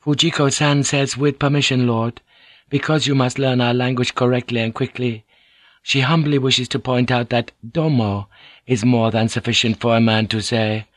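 A man voicing a polite, composed Japanese woman interpreter in an audiobook story, speaking in a measured, formal and deferential way. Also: medium pitch, level tone, dry recording, pitched above the performer's own voice, close to an everyday voice. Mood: formal, explaining.

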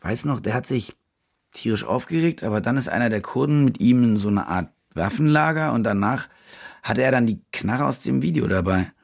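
An actor playing a glib, evasive German war reporter in a radio drama, with casual, slangy, hesitant speech and a gravelly voice. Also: male voice, mid-range voice, animated, moderate pace. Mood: bluffing. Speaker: lead actor in a radio play. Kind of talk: naturalistic radio drama dialogue and narration.

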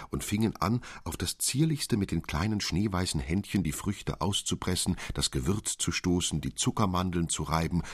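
A man with a low, husky voice narrates a classic fairy tale. His storytelling is literary and expressive, and the recording is close and dry. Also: dry recording.

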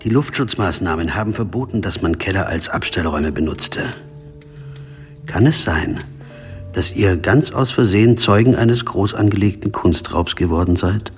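A sober, insistent investigator in a radio crime drama. His voice is fairly low and deliberate, as in first-person narration, with faint music behind it. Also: husky. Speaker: narrator and lead character.

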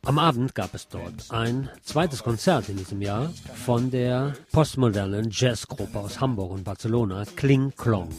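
Male reporter, average pitch, reading a scripted report over light music. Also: measured pace, hardly any pauses. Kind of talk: scripted first-person radio report.

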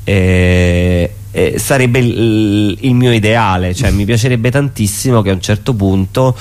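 A man being interviewed as a theatre performer, speaking spontaneously and haltingly, often correcting himself as he thinks aloud. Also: lowish voice, a little mellow.

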